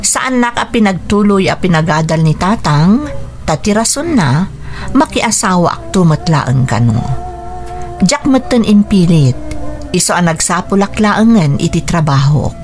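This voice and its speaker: A woman's voice: on the low side, animated, unhurried, darkish tone, faint music behind. A woman playing a humble, hurt young letter writer, narrating in the first person for a radio drama in a plaintive, confiding manner. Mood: sad, confiding.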